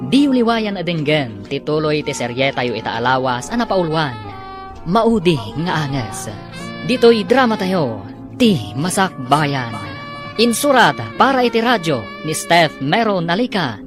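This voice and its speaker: Woman narrator for radio drama, low register, animated delivery, music bed. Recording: music under the voice.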